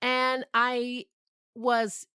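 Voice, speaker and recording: A woman's voice: on the high side, completely flat delivery, extremely slow, bright, forceful tone, smooth, no echo, clean studio sound. A woman podcast creator chatting casually and informally, as if to friends. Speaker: podcast creator and host, being interviewed. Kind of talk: casual chat.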